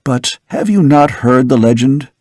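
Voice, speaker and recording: A man reading a weary older father, a doctor who speaks softly and gravely. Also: medium pitch, expressive, unhurried, dry recording, clean studio sound. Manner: soft, tired, grave. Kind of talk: audiobook character reading.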